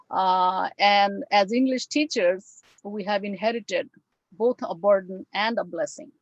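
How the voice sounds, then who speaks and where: A woman with a Bengali accent, whose first language is Bengali, gives an academic talk over a video call, reflective and full of pauses and fillers. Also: fairly high pitch, no echo, poor audio. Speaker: guest lecturer.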